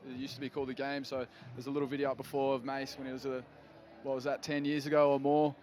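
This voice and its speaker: A man's voice: medium pitch, completely flat delivery, fast talker, a little mellow, a little hiss or hum. A man chatting casually as a commentator.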